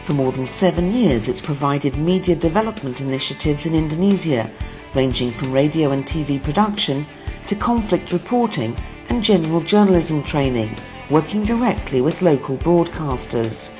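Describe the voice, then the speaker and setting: A woman's voice: low voice, expressive, moderate pace, darkish tone. A woman reading scripted documentary narration in a news report style. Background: background music.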